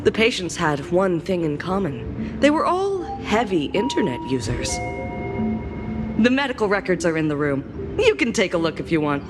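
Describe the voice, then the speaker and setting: A woman playing a teasing, self-assured doctor in a video game, with a dramatic, expressive delivery. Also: fairly low pitch, moderate pace. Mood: matter-of-fact. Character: theatrical female doctor diagnosing patients, teasing and assured. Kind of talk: scripted game voice acting. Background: background music.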